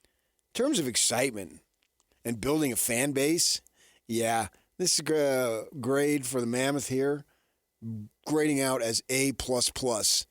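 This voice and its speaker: An American male sports radio host talks through his opinions like an animated storyteller. His voice sits at a middle pitch. The sound is dry and close, with almost no room on it. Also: slow, no echo.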